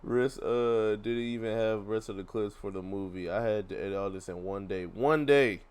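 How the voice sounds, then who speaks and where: A man's voice: fairly low pitch, moderate pace, a little mellow, smooth. A man giving a casual, rambling gaming reaction, full of slang and repeating himself as he goes. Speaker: gaming YouTuber reacting to a video. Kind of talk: casual live reaction commentary.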